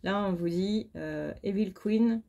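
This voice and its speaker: A woman's voice: mid-range voice, slow, vocal fry, dark tone, lo-fi sound. A very tired woman giving a casual, chatty tarot reading, speaking informally and bluntly with mild swearing. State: very tired, short of sleep. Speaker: tarot card reader.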